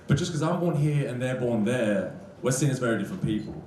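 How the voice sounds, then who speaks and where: A man's voice: medium pitch, animated, fast talker, darkish tone, echoey room, a little hiss or hum, low-quality recording. A young Australian man doing a live stand-up comedy set, joking with the audience in a dry, conversational manner. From Sydney, Australia. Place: on stage in a comedy club. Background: crowd laughter.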